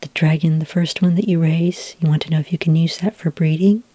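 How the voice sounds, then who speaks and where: A patient, helpful female dragonmaster, played by a woman, speaks in a low, husky whisper for an ASMR fantasy roleplay. She explains things gently and without hurry, pausing with small hesitations. The sound is close and dry. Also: steady tone, no echo. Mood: helpful, calm. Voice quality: breathy, whispery. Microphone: close microphone in a dry space.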